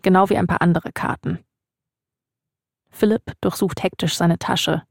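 A woman's voice: lowish voice, steady tone, a little slow, vocal fry, no echo, clean studio sound. A woman hosting and narrating a scripted podcast story. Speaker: narrator, host.

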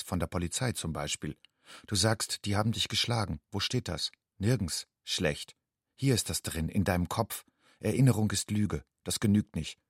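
A husky, low-pitched stark narrator of a refugee's fear in an audio drama. The sentences come short and matter-of-fact. The sound is dry, with no room around the man's voice. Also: dry recording. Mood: terse, grim.

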